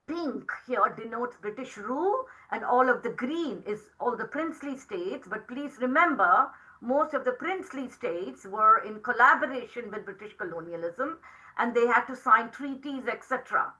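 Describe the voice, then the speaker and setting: A middle-aged woman whose first language is Tamil, giving an academic lecture to teachers in a measured, explanatory way, often adding tag questions. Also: a little high, expressive, bright, forceful tone, low-quality recording.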